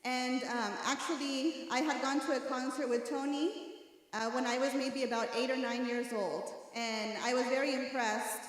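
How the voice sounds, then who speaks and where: A young religious sister from Mexico, raised in Texas, giving an earnest personal testimony to a youth audience. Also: female voice, high voice, fairly even intonation, normal speed. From Mexico, raised in East Texas. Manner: earnest storytelling, addresses audience directly, shifts between English and Spanish. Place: large hall at a youth congress. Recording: echoey hall, voice from far off.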